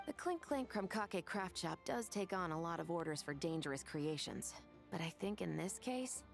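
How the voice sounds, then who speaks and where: A woman voicing a dutiful, courteous female officer in a video game, formal, measured and firm. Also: lowish voice, animated, creaky, soft background music. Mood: serious, analytical.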